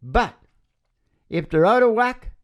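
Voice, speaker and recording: A man's voice: high-pitched, very animated, takes it slowly. A man hosting a music show with casual, rambling, irreverent banter, joking and swearing between songs. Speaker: live music show host and singer. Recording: dull lo-fi recording with guitar.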